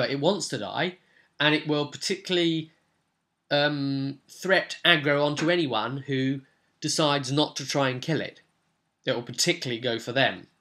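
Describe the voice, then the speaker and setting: Casual male game master recapping a session, bright, forward voice, conversational with explanatory asides. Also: on the high side.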